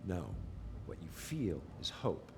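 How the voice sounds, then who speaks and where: An actor playing a grave, theatrical propaganda officer and radio announcer, measured and rhetorical, in a game audio drama. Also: male voice, low-pitched, very animated, heavy background noise, exaggerated voice. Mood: grave, bitter.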